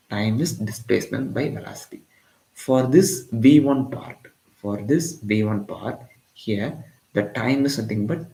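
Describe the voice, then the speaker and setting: A man's voice: medium pitch, creaky, warm tone, roomy sound, rough recording. A man from Tamil Nadu with an Indian English accent, teaching a live physics lesson and often repeating lines and asking "got it?". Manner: repetitive, keeps checking in with "got it?". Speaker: physics tutor. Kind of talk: live-streamed exam-prep lesson.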